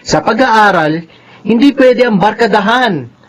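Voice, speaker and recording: A man's voice: high voice, lively intonation, unhurried, a little hiss or hum, poor audio. A Filipino man voicing a studious, bossy young older brother in a radio drama, preachy and assertive. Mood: scolding.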